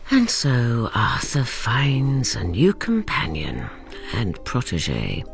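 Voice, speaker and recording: An actress playing a brooding, enchanted mother who narrates a radio drama about her son in a theatrical, measured storytelling manner. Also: a bass voice, huge pitch swings, creaky, faint music behind, full character voice.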